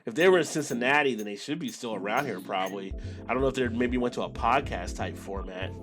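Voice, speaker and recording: A man's voice: medium pitch, fast, music in the background. An American man hosting a casual live stream, talking to viewers in a rambling, conversational way. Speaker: beer channel live stream host.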